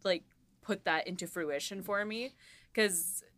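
A woman with a lowish voice co-hosts a casual conversation. She talks in an enthusiastic, gushing way, with "like" scattered through loose, informal sentences. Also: a little slow, a bit bright, dry recording. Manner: gushing, heavy use of "like". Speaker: podcast host, series writer. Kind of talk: casual chat.

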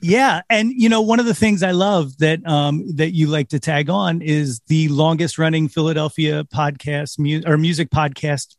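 A man hosting a podcast interview, asking questions hesitantly with many fillers and quick affirmations. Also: a little high, fairly even intonation, no echo, clean studio sound. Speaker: podcast host. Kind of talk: casual interview.

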